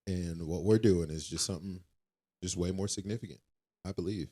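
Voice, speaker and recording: A man's voice: low-pitched, fairly quick, mellow. A young man co-hosting a casual faith-based podcast, earnest and reassuring, often repeating himself as he works through a thought. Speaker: podcast co-host.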